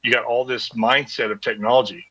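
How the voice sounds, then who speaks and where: Bright-voiced man on a dull headset line. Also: mid-range voice, flat delivery, fairly quick, slightly rough. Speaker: show participant. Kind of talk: casual chat. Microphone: headset on a remote call.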